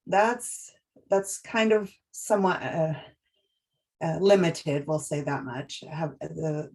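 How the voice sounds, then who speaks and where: A woman presenting a training webinar, walking through slides in a measured, explanatory way with frequent pauses and restarts. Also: low-pitched, a little mellow, rough recording.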